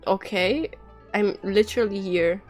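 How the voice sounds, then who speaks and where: A woman's voice: mid-range voice, measured pace, a little mellow. A woman game streamer giving casual live commentary, wry, offhand and joking. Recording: game music behind the voice.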